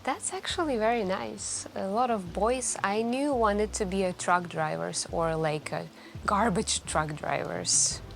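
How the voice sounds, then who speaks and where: A woman playing a wistful, soft-spoken stranger in a late-night café, confiding hesitantly in an intimate ASMR roleplay. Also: mid-range voice, gravelly. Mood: gently curious. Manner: hesitant, musing, confiding, with gentle pauses. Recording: continuous quiet background music. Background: quiet background music.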